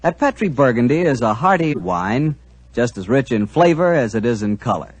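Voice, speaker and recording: A man's voice: medium pitch, very animated, normal speed, breathy edge, no room sound. A man announcing and reading a sponsor ad on a 1940s radio show, genial and salesmanlike.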